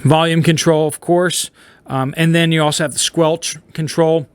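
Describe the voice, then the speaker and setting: A man's voice: a little high, steady tone, moderate pace, smooth, dry recording. A man hosting a casual product review, explaining gear to viewers in plain, informal layman's terms. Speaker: host of a prepper radio review channel.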